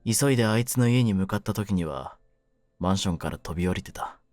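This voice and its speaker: Man acting low-voiced cynical young mafia kidnapper. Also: animated, a little fast, a little mellow, dry recording, studio quality, faint music behind, lightly acted. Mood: grieving, bitter. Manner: rough masculine slang, taunting, brooding delivery. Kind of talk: Japanese situation-voice audio drama, ASMR.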